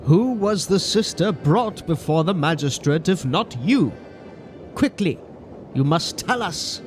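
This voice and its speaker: A man plays a weary medieval friar, grave and solemn. He speaks slowly and deliberately, as an investigator in a horror tale, with music behind him. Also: a little high, lively intonation. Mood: urgent. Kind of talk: dramatised horror audiobook acting.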